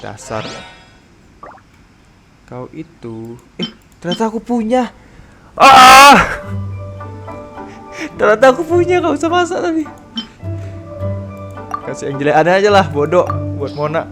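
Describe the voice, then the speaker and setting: A woman's voice: medium pitch, very animated, normal speed, long pauses. A woman with an Indonesian accent giving casual, teasing commentary as she plays a game. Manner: teasing, talking back at the game. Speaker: game streamer. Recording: game music behind the voice. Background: video game music.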